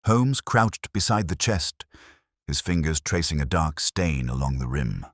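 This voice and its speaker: A man narrating a scripted detective story in a measured, atmospheric storytelling manner. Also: very deep voice, big pitch swings, dry recording, clean studio sound.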